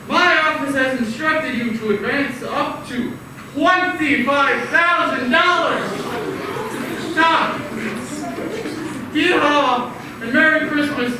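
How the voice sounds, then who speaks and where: A woman playing a man who hushes a crowd and reads out a telegram in an amateur stage radio play. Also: high voice. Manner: commanding, then reading. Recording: distant, very echoey, noisy stage recording.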